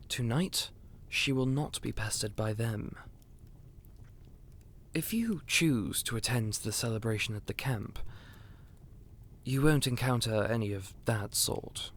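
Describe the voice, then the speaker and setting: Man playing a slow-spoken, courtly royal aide. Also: medium pitch. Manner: formal, courtly, measured. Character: courteous, loyal royal aide and dear friend to a queen. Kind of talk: fantasy audio roleplay acting.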